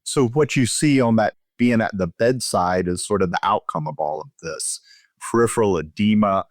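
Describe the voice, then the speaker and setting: A man's voice: deep voice, no room sound. A man speaking as a physician guest, explaining clinical findings in a conversational interview style.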